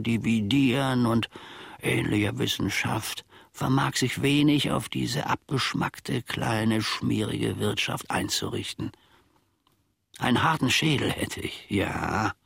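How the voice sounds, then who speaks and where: An actor playing a grumbling, pedantic, world-weary manservant who philosophizes in a rambling, theatrical radio play monologue, with a gravelly, husky voice. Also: male voice, medium pitch, a bit bright, no room sound, clean studio sound, exaggerated voice. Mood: self-important, lamenting. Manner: rambling, theatrical, pseudo-learned, halting.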